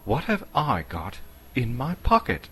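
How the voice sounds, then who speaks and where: Male reader as small hobbit, storybook, frightened yet feigning boldness, pitched up, short nervy calls, animated. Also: unhurried, a little hiss or hum, exaggerated voice, rough recording. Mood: puzzled, insistent.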